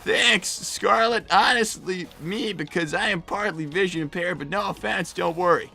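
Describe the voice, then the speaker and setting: A man's voice: sits high, moderate pace, vocal fry, pushed and bright, soft background music, full character voice. A man voicing a flustered, defensive young cartoon fox boy with a cracking voice and a stammering, exasperated manner, in an improvised comedy livestream. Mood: reluctant placating. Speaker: livestream co-host in character.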